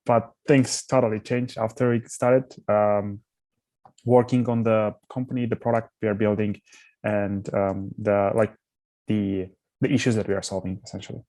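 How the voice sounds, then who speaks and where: Young man from Armenia, low dry voice, thoughtful and self-correcting; guest founder on an interview call. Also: little pitch movement, normal speed, a little mellow, dry recording. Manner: thoughtful, restarts words often. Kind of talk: casual interview answers.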